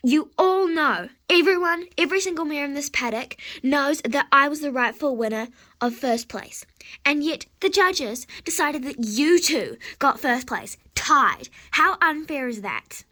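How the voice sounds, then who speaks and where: A woman's voice: fairly high pitch, animated, unhurried. A woman voicing a spoiled, indignant show horse, haughty and whiny, in a play-acted animal story. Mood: angry, shouting. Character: spoiled, indignant mare who thinks she deserved first place.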